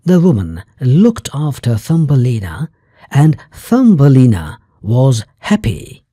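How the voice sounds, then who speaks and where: An extremely slow fairy tale narrator with a mellow, slightly husky voice. The phrasing comes in careful chunks for language learners, with exaggerated rise and fall. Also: mid-range voice, big pitch swings, no room sound, clean studio sound. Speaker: storyteller.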